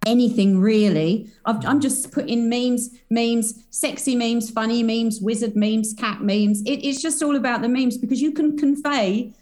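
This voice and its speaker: A woman's voice: on the high side, steady tone, a little slow, few pauses, darkish tone. Bubbly rambling woman. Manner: bubbly, rambling, spiritual jargon. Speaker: podcast guest, energy healer. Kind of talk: casual podcast chat.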